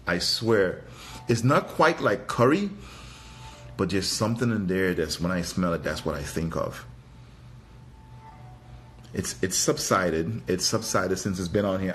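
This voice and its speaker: A man from Trinidad giving a casual live product review, thinking aloud in a hesitant, musing way and often repeating his phrases. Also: low voice. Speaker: fragrance reviewer and livestream host.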